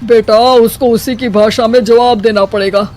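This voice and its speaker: A woman voicing a troubled, henpecked elderly father-in-law in an animated story, plaintive and resigned. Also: a little high, moderate pace, faint music behind, lightly acted. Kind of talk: animated story character acting.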